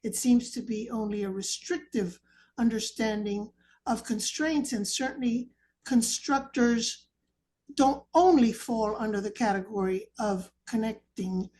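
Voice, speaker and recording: A woman with a fairly high voice works through a dense philosophical question, choosing her words carefully and pausing as she goes. She speaks over a video call. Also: warm tone, a little hiss or hum. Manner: careful, halting, abstract phrasing. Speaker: academic asking the speaker a question.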